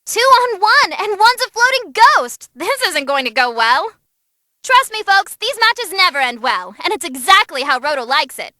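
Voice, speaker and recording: Squeaky, excitable young demon girl announcer. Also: moderate pace, dry recording, studio quality, full character voice. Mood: worried. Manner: bubbly, cheeky, dramatic. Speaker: fight commentator. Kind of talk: animated fight commentary.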